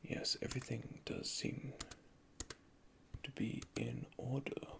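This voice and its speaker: A man whispering softly and methodically as he plays a repair technician in an ASMR roleplay, recorded close to the microphone. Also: mid-range voice, slow, vocal fry, a little hiss or hum. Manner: soft, calm, methodical, speaks in technical jargon. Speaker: ASMR creator playing an android repair technician.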